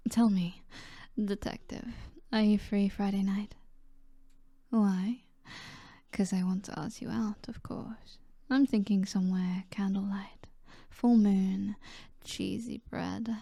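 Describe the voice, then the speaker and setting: A woman with a British accent voicing a seductive, self-assured mob boss in an ASMR roleplay, soft and flirtatious, often dropping to a whisper. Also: medium pitch, fairly even intonation, vocal fry, no echo. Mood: flirtatious, playful. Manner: flirtatious, teasing, unhurried.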